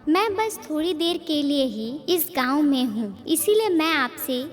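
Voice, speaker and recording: A woman's voice: high voice, sing-song, a little slow. A woman voicing a humble wandering holy man in a Hindi cartoon story, with polite, formal speech. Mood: humble pleading. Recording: long reverb, music behind.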